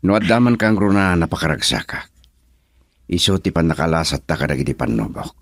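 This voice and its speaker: An actor playing an earnest, devoted grown son and husband in a radio drama, solemn and measured. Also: male voice, deep voice, animated, dry recording, clean studio sound. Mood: heartfelt. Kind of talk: Ilocano radio drama acting.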